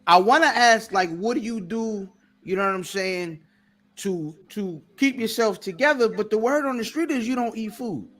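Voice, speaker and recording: A male podcast host with a high voice, playfully teasing his guest. He asks questions in loose, joking banter. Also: moderate pace, fairly bright tone. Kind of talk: casual chat, joking interviewer.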